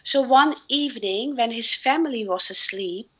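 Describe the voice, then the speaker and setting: A middle-aged woman from Suriname whose first language is Dutch, speaking as a guest on personal development and pausing often to find her words. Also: on the high side, pushed and bright, low-quality recording. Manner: earnest, with frequent fillers and restarts. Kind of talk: interview answers.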